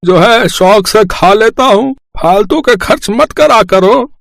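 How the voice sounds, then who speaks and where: A man playing a thrifty, grumbling elderly father-in-law in a family story, fussy and halting. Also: sits high, vocal fry, a bit bright, dry recording, clean studio sound, heavily acted.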